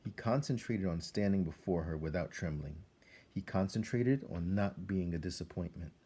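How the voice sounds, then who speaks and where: A man narrates a storybook in a low, dark voice with a husky grain. His delivery is measured and dramatic, as if drawing the listener into the tale. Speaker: read-aloud narrator. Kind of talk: expressive scripted storybook narration.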